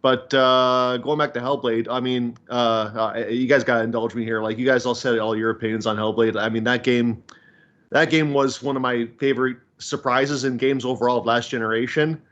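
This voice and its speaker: Excited podcast guy, kinda muffled lo-fi mic. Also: medium pitch, moderate pace. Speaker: podcast panelist. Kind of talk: casual chat.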